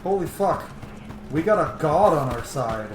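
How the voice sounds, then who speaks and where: Excitable man gaming in a roomy echo. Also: fairly high pitch, a little slow. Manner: excitable, sweary reactions. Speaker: gamer host playing and commenting on a role-playing video game. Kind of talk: casual let's play commentary. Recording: roomy echo, clearly noisy background.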